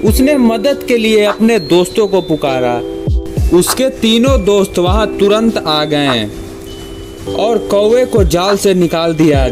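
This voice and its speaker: Male narrator for children's moral stories, slightly high pitch, unhurried measured read over a prominent music bed. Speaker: storyteller.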